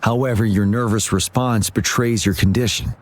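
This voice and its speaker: A calm game commander, played by a man, with a low, husky voice. He speaks formally and attentively, in measured phrases. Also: natural voice. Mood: calm, analytical. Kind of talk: video game voice acting.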